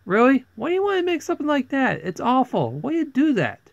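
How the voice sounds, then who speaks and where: A man's voice: very high-pitched, huge pitch swings, fast talker, gravelly, no room sound. A man giving a casual, rambling movie review as a video host, exclaiming in exasperation and sometimes stammering over his words. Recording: dull, lo-fi close microphone.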